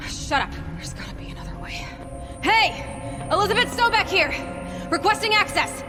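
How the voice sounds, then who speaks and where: High-voiced, defiant young huntress. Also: female voice, very animated, moderate pace, music in the background. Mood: exasperated. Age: young adult. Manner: blunt, sarcastic. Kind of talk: naturalistic video game acting.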